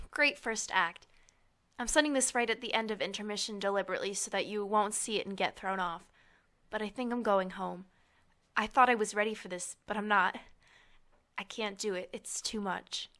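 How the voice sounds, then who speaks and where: A woman playing a hurt, resentful and anxious young student in an audio drama, sharp but vulnerable. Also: mid-range voice, normal speed, natural voice. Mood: apologetic, sad.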